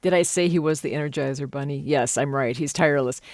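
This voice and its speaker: A woman's voice: sits low, quick pace, no room sound. An American woman hosting a conversational radio interview in an effusive, exclamatory way. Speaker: radio host.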